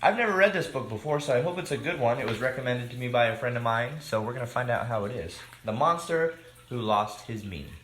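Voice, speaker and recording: A man reading a picture book aloud to young children, expressive, with casual asides to his listeners. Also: mid-range voice, fast talker, slight background noise. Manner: expressive, simple addresses to children. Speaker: children's story time reader. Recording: echoey room.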